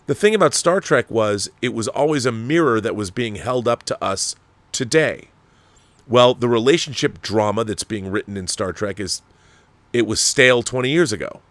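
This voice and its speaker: A man's voice: mid-range voice, a bit bright, dry recording. A man hosting an online talk show, speaking in an emphatic, conversational and opinionated way as he reads and answers viewer mail.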